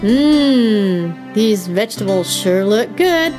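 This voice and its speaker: A woman's voice: mid-range voice, expressive, slow pace, over music. A woman playing a naughty, cocky little boy rabbit in a children's puppet show, childlike, whiny and boastful. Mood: smug, defiant.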